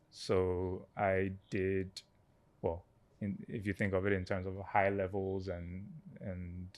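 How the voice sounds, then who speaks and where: A man in his thirties with a Ghanaian accent, a startup founder chatting as a guest, thoughtful and pausing with fillers and restarts. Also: sits low. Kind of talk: casual interview chat.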